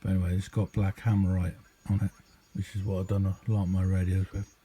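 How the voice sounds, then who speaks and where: A man's voice: low voice, little pitch movement, brisk, mellow. A middle-aged or older British man giving a casual, unscripted hobby demonstration in a rambling, hesitant, easygoing way. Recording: clearly noisy amateur home recording.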